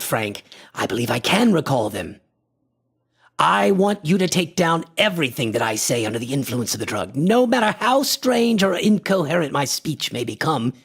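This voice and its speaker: Man acting a husky, creaky, obsessed antiquarian. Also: a little high, full character voice. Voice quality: breathy, husky, often dropping to a whisper. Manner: grandiose, deliberate, formal diction. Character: obsessed, imperious male antiquarian experimenting with a mystical drug. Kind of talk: theatrical radio horror acting.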